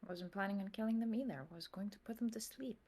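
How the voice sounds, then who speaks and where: A woman at a tabletop game talks at an ordinary middle pitch. Her lines sink to near whispers, then jump to loud protests. She speaks casually among friends. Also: fast. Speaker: player. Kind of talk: in-character tabletop roleplay.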